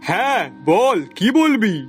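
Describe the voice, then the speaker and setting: A bossy, good-hearted cartoon master performed by a man talks in a strikingly high voice. His manner is colloquial and teasing, and soft music runs behind him. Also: animated, takes it slowly, exaggerated voice. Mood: satisfied. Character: bossy but kindly master advising his servant. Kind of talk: cartoon story dialogue.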